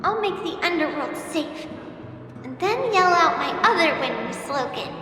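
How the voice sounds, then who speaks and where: Echoing, very high little girl. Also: expressive, measured pace. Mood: proud, determined. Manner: earnest, hopeful, bashful. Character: sick little girl, earnest starry-eyed young fan. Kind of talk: video game voice acting, reading a letter aloud. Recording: long echoing reverb, music behind. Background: clear music.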